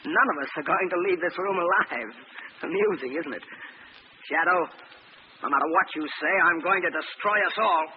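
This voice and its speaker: A man playing a cold-blooded, gloating villain scientist with mock-courteous, deliberate speech in 1940s radio drama style. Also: fairly high pitch, natural voice. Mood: desperate, raging. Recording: muffled, noisy old radio recording.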